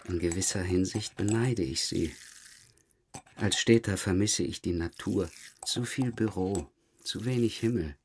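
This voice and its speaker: A calm police inspector in a crime drama. His voice is low and he speaks slowly, with polite, procedural courtesy. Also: breathy edge, heavily acted. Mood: courteous, chatty. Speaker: interrogating police detective. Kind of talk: naturalistic crime radio drama dialogue.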